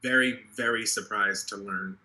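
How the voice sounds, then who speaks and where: A man's voice: lowish voice, steady tone, moderate pace, pushed and bright. A man in his twenties, an earnest political candidate speaking passionately on a video call, often restarting his sentences. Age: 20s. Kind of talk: interview over video call.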